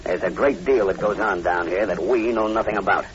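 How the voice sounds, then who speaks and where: An actor playing a grim, uneasy plantation manager in a 1940s radio drama, speaking earnestly and with foreboding. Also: male voice, fairly low pitch, a little fast, close to an everyday voice. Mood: insistent, warning. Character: grim, uneasy male plantation manager, long-time islander. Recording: muffled, very noisy old radio recording.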